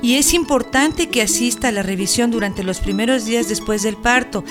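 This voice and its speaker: A professional, reassuring helpline operator voiced by a woman in a radio promo. Her voice sits fairly high over prominent music. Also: moderate pace, natural voice. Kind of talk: scripted radio promo dialogue.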